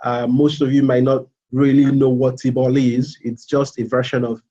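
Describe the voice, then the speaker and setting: A man in his thirties from Nigeria with a Nigerian accent, a student giving a casual live presentation, halting with fillers and repeats. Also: mid-range voice, little pitch movement, mellow, dull audio. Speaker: MBA student.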